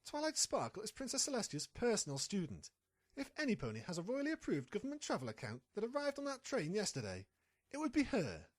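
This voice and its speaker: Scheming pony reporter, played by a woman: husky, hugely animated delivery, confident and impatient, for an audio drama. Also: medium pitch, fast. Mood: insistent deduction. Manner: confident, conniving, impatient with a partner. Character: sly, scheming pony reporter chasing a scoop. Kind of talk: fan audio drama acting.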